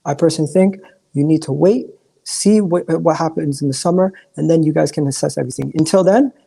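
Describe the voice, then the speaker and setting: A hoarse man calling in to a fan livestream, talking candidly and rambling, with many restarts and a throat that keeps giving out. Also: a little high, brisk, warm tone. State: hoarse, coughing. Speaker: caller. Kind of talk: casual chat on a fan livestream.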